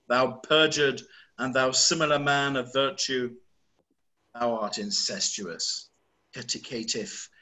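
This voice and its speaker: A man's voice: fairly high pitch, rough recording. A man playing a raging old king. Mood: accusing. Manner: declaiming, swings between roaring and hushed. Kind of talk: play read-through.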